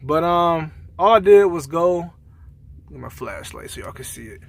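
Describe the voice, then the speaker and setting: A man's voice: a little high, expressive, normal speed, creaky. A man talking casually and colloquially, like a truck driver giving a rambling, conversational gear review in a vlog.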